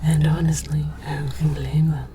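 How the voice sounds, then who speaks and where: Slow, whispering woman playing alien scholar. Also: sits low, little pitch movement, crowd noise. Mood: mock-scholarly. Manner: deliberate, theatrical, mock-scholarly. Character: alien archaeologist researcher, scholarly and condescending about humans. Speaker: host of an ASMR series. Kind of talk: whispered ASMR scripted narration.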